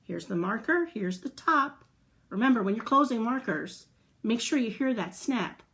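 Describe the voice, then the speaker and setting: A woman's voice: fairly high pitch, lively intonation, normal speed, fairly bright tone. A woman hosting a children's storytime, telling a story and chatting to young listeners in an encouraging way with simple explanations and questions. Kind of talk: read-aloud storytelling and chat to young children.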